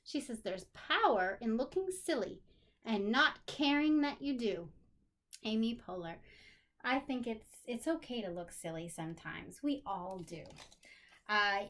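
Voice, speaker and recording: A woman teacher speaking directly to young children in an animated, encouraging way, with simple phrases and questions. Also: a little high. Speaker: special education teacher. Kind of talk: lesson for young children, talking directly to pupils.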